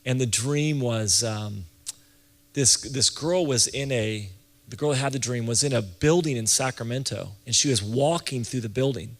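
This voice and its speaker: Man teaching in a reverberant hall. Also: medium pitch. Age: late 30s to early 40s. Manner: conversational, halting, often restarts and repeats phrases. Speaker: ministry leader and church planting teacher. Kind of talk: informal sermon-style teaching talk to an audience.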